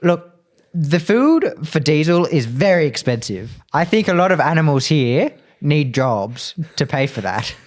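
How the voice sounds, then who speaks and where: A man's voice: fairly high pitch, big pitch swings, a little slow. A man playing an earnest, naive fantasy hero who speaks in a formal, old-fashioned way during improvised tabletop roleplay. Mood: eager, decisive.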